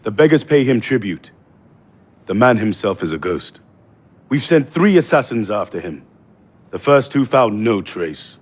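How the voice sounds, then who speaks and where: An actor playing a grave, wary assassin council member with a husky voice in a game cutscene. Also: male voice, on the low side, big pitch swings, measured pace, long pauses, heavily acted. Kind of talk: video game cutscene acting.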